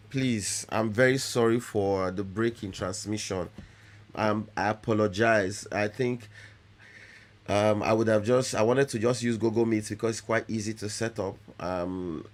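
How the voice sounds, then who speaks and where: Man, fairly low voice, live-stream tutor, photo editing lesson, repetitive and restarting. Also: steady tone, measured pace. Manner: apologetic, repeats words, many restarts. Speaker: photography instructor.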